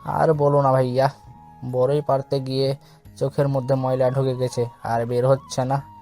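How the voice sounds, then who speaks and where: A man playing a flat-toned, cheeky younger brother. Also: mid-range voice, moderate pace, close to an everyday voice. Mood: flippant. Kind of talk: audio story acting. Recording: music under the voice.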